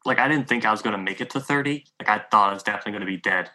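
A man's voice: lowish voice, very fast, pushed and bright. A man in his late thirties, a musician guest on a podcast, talking reflectively in a rambling way with lots of fillers. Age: 30s. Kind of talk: casual conversation.

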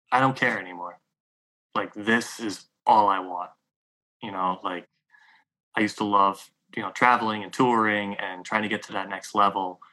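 A man's voice: sits low, pushed and bright, rough recording. A man in his late thirties, a musician guest on a podcast, talking reflectively in a rambling way with lots of fillers. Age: 30s. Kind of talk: casual conversation.

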